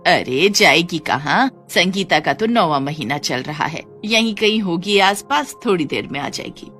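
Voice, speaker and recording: A woman voicing a cruel, scolding old mother-in-law with drawn-out, taunting exclamations in a cartoon story. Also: lowish voice, animated, fairly bright tone, soft background music. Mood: annoyed, callous.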